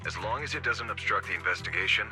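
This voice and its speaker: An actor playing a stern, curt commissioner in a video game, speaking formally and with composed authority. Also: male voice, fairly low pitch, lively intonation, quick pace, sharp tone, faint music behind.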